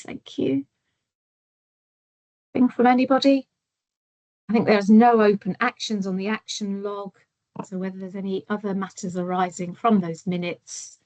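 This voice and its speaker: A woman chairing a formal meeting, courteous and brisk, thanking people often as she moves through the agenda. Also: medium pitch, flat delivery, a little mellow, no room sound, low-quality recording.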